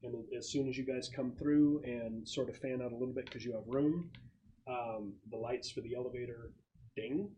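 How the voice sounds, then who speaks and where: A man narrating a tabletop game as game master, describing scenes in a halting, improvised way. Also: medium pitch, dark tone. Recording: noisy room, clicks.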